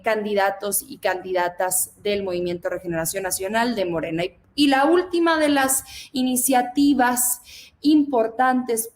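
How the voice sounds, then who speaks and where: A woman's voice: mid-range voice, a little mellow, slight background noise. A Mexican woman in her twenties, a federal deputy, speaking emphatically in a political interview and addressing the audience. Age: 20s.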